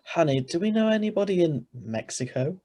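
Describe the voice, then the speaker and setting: A man's voice: on the high side, sing-song, brisk, dark tone. A man playing an ordinary, easily flustered married man who narrates his own story in an old-style radio play, read over a video call. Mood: puzzled, domestic. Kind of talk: 1940s radio acting read aloud.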